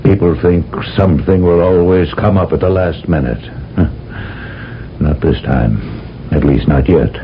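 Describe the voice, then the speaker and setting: A solemn military general who lectures in slow, measured phrases. His voice sits very deep. It comes through a dull, lo-fi old radio broadcast with faint hiss. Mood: grave. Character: grave older male general, commanding, briefing an agent. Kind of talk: 1970s radio drama acting.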